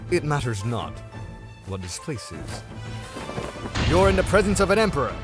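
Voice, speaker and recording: A man's voice: fairly high pitch, very animated, normal speed, over music. A man voicing an imperious, self-assured Chinese emperor in an anime fan dub, commanding and grandiose.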